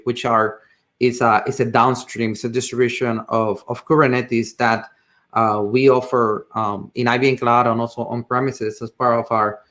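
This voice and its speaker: Puerto Rican man, halting tech presenter. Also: mid-range voice, fairly bright tone. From Puerto Rico. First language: Spanish. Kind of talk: informal technical presentation.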